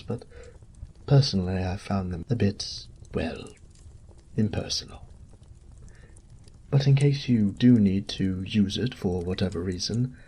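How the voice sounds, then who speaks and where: A man's voice: low-pitched, mellow, husky, exaggerated voice. A man voicing a courteous noble knight captain in a fantasy audio roleplay, speaking in formal, polished phrases and politely probing. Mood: affable, formal.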